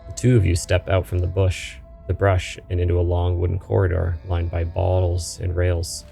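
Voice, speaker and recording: A man's voice: low-pitched, monotone, normal speed, creaky, warm tone. A man reading a story game aloud and commenting on it as a gaming host, with music playing behind him. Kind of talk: let's play commentary and narration read-aloud.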